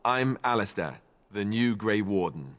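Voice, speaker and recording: An actor playing a wry, sarcastic young warrior recruit and former templar in a fantasy video game. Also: male voice, lowish voice, animated, unhurried, fairly bright tone. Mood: friendly, slightly awkward. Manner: sarcastic, glib.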